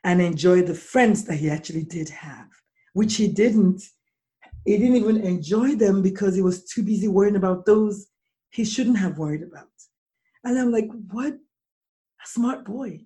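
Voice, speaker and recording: A middle-aged woman hosting a podcast, with a deep, husky voice from swollen vocal cords, talking slowly and deliberately when she explains. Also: warm tone. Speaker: podcast host. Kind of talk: casual podcast interview.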